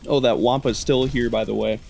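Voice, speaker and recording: A man's voice: mid-range voice, steady tone, brisk, vocal fry, a little mellow, a little hiss or hum. A man giving casual, humorous commentary on a video game in a wry, sarcastic way. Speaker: gaming video host.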